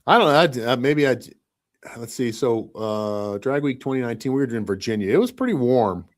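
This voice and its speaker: A man's voice: mid-range voice, animated, fairly quick. A man in his fifties from Michigan, talking in a rambling, reflective way in a casual podcast chat. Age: 50s. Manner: rambling, reflective, often says "you know what I mean".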